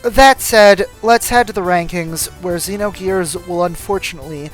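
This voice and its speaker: A man's voice: high-pitched, moderate pace, faint music behind, poor audio. A man reading scripted voice-over commentary as a video game reviewer.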